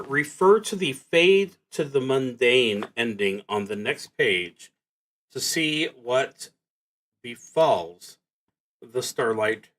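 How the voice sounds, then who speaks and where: Slow-talking man hosting a game stream. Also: mid-range voice, fairly bright tone, low-quality recording. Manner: halting, thinking aloud with long pauses. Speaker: solo roleplaying game streamer, host. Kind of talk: casual solo tabletop game stream commentary.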